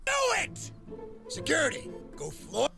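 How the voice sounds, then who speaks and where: An actor playing a furious, panicky cartoon commander who screams overwrought orders in a video game cut scene. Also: male voice, a little slow, over music, close to an everyday voice. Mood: furious, screaming.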